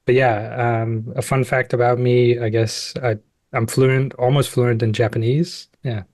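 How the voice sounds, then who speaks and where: A man from Denmark speaks very slowly on a writers' panel, in a dry, close sound. He comes across as modest and laughs a little. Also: mid-range voice, flat delivery, darkish tone, no echo. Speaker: panelist, author. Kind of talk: casual panel chat.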